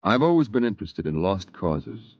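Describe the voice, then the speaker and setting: A man narrating a 1950s radio drama as a grown man recalling his youth, in a measured, reflective way. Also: deep voice, very animated, no room sound. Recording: dull, muffled old radio broadcast.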